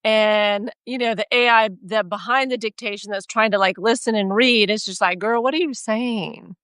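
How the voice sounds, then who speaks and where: A woman with a Texas accent co-hosting a casual chat, animated and self-mocking as she tells stories. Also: medium pitch, normal speed, smooth, dry recording. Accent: Texan. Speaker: podcast co-host.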